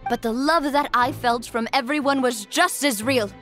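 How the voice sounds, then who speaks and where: A young female boss in a video game scene. The voice is high, earnest and resolute, with a commanding delivery over faint background music. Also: moderate pace, fairly bright tone. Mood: defiant, heartfelt.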